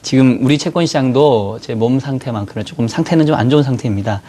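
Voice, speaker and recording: A man with a cold-roughened voice, a bond strategy guest in a TV studio, explaining market moves in a measured way with many fillers. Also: mid-range voice, warm tone. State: hoarse from a cold. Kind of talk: financial market analysis.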